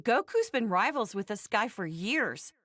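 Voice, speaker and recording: A woman's voice: a little high, sing-song, a little slow, fairly bright tone, dry recording, studio quality, full character voice. A woman voicing a doting, strict and blunt mother in an English anime game, with a motherly, pointed manner. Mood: curious.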